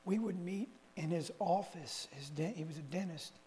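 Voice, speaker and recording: A man's voice: high-pitched, moderate pace, mellow. A man preaching a conversational sermon to a church assembly, rambling through folksy personal stories with self-correcting asides. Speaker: lay preacher. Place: church meeting hall. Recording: reverberant hall with faint hum.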